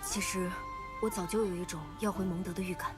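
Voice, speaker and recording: A woman voicing a gentle, shy, polite young boy, soft-spoken and apologetic, in anime game style. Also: fairly low pitch, fairly even intonation, quick pace, over music, lightly acted. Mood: shy, earnest. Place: studio game dialogue with music behind.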